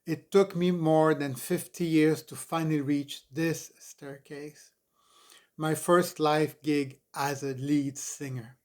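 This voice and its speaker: A man in his sixties from Montreal reading his memoir aloud in an expressive, deliberate, dramatic narrating style. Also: fairly high pitch. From Montreal, Canada. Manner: expressive, deliberate, emphatic storytelling. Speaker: author reading own memoir.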